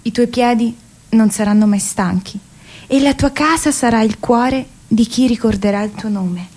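A lyrical female oracle voiced by an actress declaims in a slightly high voice. Her delivery is solemn and poetic, like a prophecy recited in a radio play. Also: normal speed, a little mellow, natural voice. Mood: solemn, prophetic. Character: lyrical female oracle foretelling a wanderer's future.

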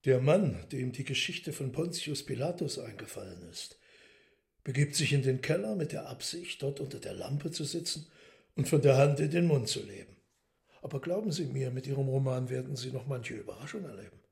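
An actor playing a composed, commanding Satan in a radio drama, grave and measured. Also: male voice, medium pitch, lively intonation, creaky, a little mellow. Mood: grave, knowing.

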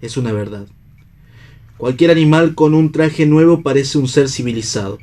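An actor in a radio play voicing an idealistic worker-philosopher, declamatory and sententious. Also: male voice, fairly high pitch, normal speed, dark tone, close to an everyday voice. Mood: preaching, resolute. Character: idealistic man in worker's clothes, preachy philosopher. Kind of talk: read radio theatre.

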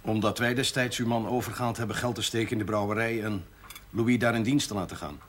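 An actor playing a hearty, sporty village priest, jovial and quick to joke, in a 1970s TV drama. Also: male voice, fairly low pitch, steady tone, a little hiss or hum, lightly acted. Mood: friendly. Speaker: parish priest.

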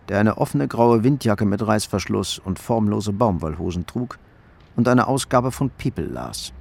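A man's voice: lowish voice, gravelly. A man reading scripted narration for a crime radio drama.

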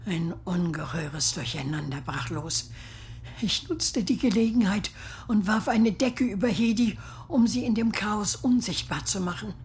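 An actress playing a grieving war survivor in a radio play, confiding guilt and secret love in measured, halting phrases that often sink toward a whisper. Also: female voice, medium pitch, a little hiss or hum, close to an everyday voice. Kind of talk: dramatic first-person radio play monologue.

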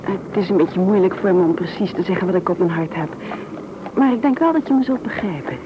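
An actress playing a tender, encouraging fiancée in an old Dutch radio play, earnest and affectionate. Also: female voice, lowish voice, fairly quick, lightly acted. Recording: dull, noisy old radio recording.

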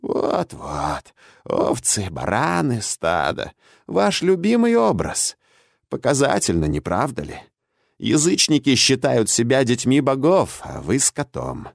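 A man voicing a defiant old imprisoned witch in an audiobook, hoarse and croaking, with a caustic, mocking, argumentative way of speaking. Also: mid-range voice, huge pitch swings, slow, no room sound, clean studio sound, heavily acted. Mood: scornful, mocking. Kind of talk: audiobook character acting.

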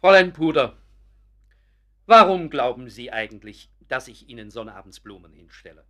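An actor playing a blunt, disillusioned painter in a German radio drama, gruff, curt and cutting. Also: male voice, mid-range voice, expressive, moderate pace, bright, forceful tone. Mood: cutting, irritated.

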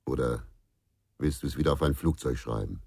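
Reluctant investigator, extremely deep, husky, terse, brooding, crime radio drama. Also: male voice, brisk, a little mellow, exaggerated voice. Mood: reluctant, uneasy. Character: troubled, reluctant gruff male police investigator.

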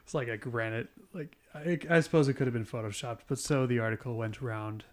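A man's voice: medium pitch, steady tone, brisk. Rambling young man on a podcast. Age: 20s. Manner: rambling, chuckles often. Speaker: podcast co-host. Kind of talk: casual chat.

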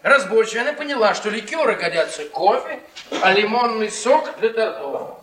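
An actor playing a bitter, mocking middle-aged professor and henpecked husband in a theatrical radio play, often repeating words insistently. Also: male voice, sits high, big pitch swings, brisk. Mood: sardonic toasting. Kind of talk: Russian radio theatre acting. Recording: echoey stage, distant, loud audience.

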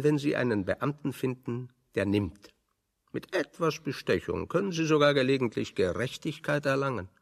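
An ironic pedantic scientist, radio drama, very swoopy intonation, echoing hall sound. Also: male voice, mid-range voice, gravelly, exaggerated voice. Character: wry, pedantic intellectual exile, a man of science. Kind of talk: German radio play dialogue.

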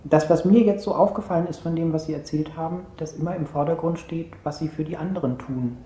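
An actor playing a male therapist in a naturalistic radio play, asking probing questions in a measured, halting way. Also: fairly high pitch, warm tone, natural voice. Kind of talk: naturalistic radio drama dialogue. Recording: roomy sound with faint noise.

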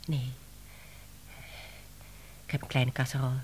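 An actress playing a wry, harried middle-aged woman editor in a Dutch radio play, expressive and exclamatory. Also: extremely low pitch, measured pace, a little hiss or hum. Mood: reassuring.

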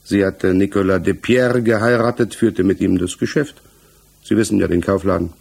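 An actor in a 1960s German radio play, playing an accused married village mechanic who answers earnestly, evasively and haltingly. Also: male voice, low-pitched, fairly even intonation, vocal fry, a little mellow, husky, full character voice. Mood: hesitant, explaining. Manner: hesitant, halting, defensive.

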